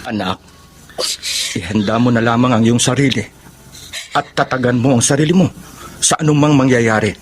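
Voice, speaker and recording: A man's voice: mid-range voice, normal speed, long pauses, natural voice. An actor playing an earnest, devoted man in an emotional Filipino radio drama, with a dramatic delivery. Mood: grave, advising.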